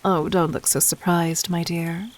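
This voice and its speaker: A woman playing a regal, cunning, gently dominant demon queen in a roleplay audio drama, speaking formally and intimately with measured, breathy poise. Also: lowish voice, level tone, lightly acted. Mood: poised, knowing. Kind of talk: scripted roleplay audio drama addressed to the listener.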